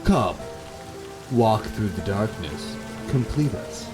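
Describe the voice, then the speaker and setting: An eerie dead woman, read by a man beckons her lover with intense devotion. The delivery is slow and dramatic, in gothic horror visual novel style, with music clearly behind it. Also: fairly low pitch, expressive. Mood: beckoning, intense. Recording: background music under the voice.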